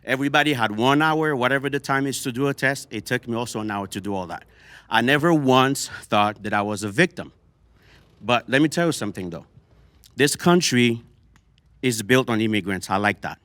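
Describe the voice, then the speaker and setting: A man with a Haitian accent argues his point with force, often stumbling over and repeating words as he pushes on. He speaks at a middling pitch in a dry, close-sounding room. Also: fairly quick, a bit bright, no room sound. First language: Haitian Creole. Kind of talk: heated debate.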